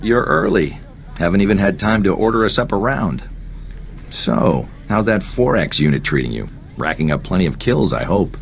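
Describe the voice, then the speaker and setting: A man's voice: deep voice, sing-song, normal speed, vocal fry, heavily acted. An actor playing a worldly, laid-back male intelligence agent in a video game, talking in a casual, frank way. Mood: easygoing, wry. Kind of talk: scripted video game cutscene acting.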